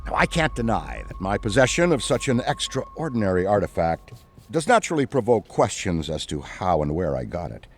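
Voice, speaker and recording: A man's voice: lowish voice, very animated, a little fast, faint music behind. An eccentric American veteran ghost hunter for a video game. His voice swings expressively as he rambles earnestly in grandiose vocabulary with folksy asides. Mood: awed, eager. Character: earnest, eccentric, wordy veteran male paranormal investigator. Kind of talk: video game cutscene monologue.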